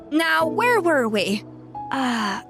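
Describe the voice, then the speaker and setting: An actress playing a seasoned woman chef who speaks in a measured, instructive and gently encouraging way, in video game dialogue. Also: high-pitched, very animated, full character voice. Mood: composed. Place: recording studio. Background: game background music.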